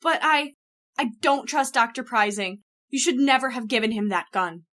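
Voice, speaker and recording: A woman's voice: fairly high pitch, normal speed, a bit bright, lightly acted. A woman playing an anxious, suspicious friend in an old-time radio horror play, urgent and dramatic. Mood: accusing.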